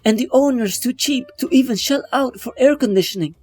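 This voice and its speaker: An actress playing a world-weary late-night diner waitress, brusque and wry, in a comic-book drama. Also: female voice, high-pitched, fairly quick, darkish tone, husky. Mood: wry, chatty.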